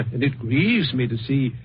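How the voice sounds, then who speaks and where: An actor playing a sly, mock-courteous older gentleman plotting murder, in the style of old-time radio suspense. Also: medium pitch, big pitch swings, unhurried. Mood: mock courtesy. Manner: mock-courteous, chuckling, savouring his words. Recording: muffled, noisy vintage radio recording.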